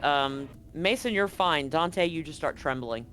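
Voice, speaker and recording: A man narrating a tabletop horror game as game master, in a relaxed, descriptive way. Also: fairly high pitch, moderate pace, slight background noise.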